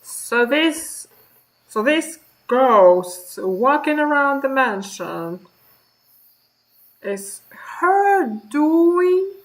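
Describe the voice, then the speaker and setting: A woman streaming a visual novel, reading the narration aloud and reacting in an expressive, sweary way. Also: sits high, drawn-out words, poor audio.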